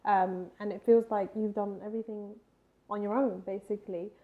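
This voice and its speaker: A woman's voice: medium pitch, little pitch movement, normal speed. A woman hosting a talk show and interviewing a guest with friendly, curious questions. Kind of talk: interview chat. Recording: tinny phone-like sound.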